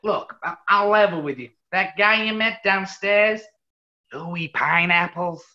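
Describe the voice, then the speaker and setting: A woman's voice: medium pitch, measured pace, lo-fi sound, close to an everyday voice. A woman playing an earnest, cocky but nervy male tabloid reporter, hesitant yet insistent, in a 1940s radio comedy.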